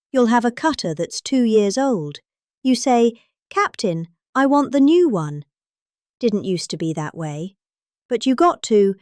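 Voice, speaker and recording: A woman's voice: medium pitch, darkish tone, no room sound, clean studio sound. A middle-aged British woman giving a dramatic, satirical reading of a speech in a mock-serious, theatrically emphatic manner. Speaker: presenter reading out a politician's speech.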